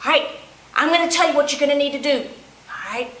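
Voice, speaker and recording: A woman's voice: sits high, fast talker, a bit bright, voice pushed down. A woman voicing a fretful little penguin with hiccups, sighing dramatically and talking straight to the listeners. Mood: pleading. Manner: dramatic, sighing, talks to the audience. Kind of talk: children's storytime puppet play. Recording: roomy echo.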